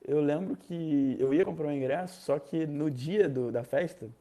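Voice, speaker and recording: A young man from Rio de Janeiro with a Carioca accent, casually telling a personal story, animated and slangy, acting out other people's lines. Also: a little high, moderate pace. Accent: Carioca Brazilian Portuguese. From Rio de Janeiro, Brazil.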